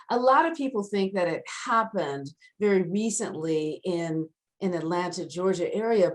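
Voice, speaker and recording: A woman hosting an interview show, opening with encouraging questions for her guests. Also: mid-range voice. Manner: enthusiastic, encouraging. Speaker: host.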